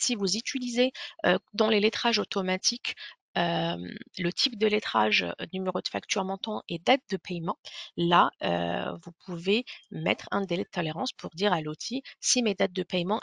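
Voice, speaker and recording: A woman giving an explanatory software webinar walkthrough, speaking hesitantly with frequent pauses. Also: medium pitch, gravelly, no echo, clean studio sound.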